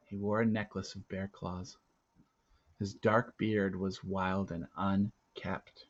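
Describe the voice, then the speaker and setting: Male read-aloud narrator, children's novel, dramatic phrasing, unhurried pace, slightly dull recording. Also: low-pitched, little pitch movement. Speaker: story reader.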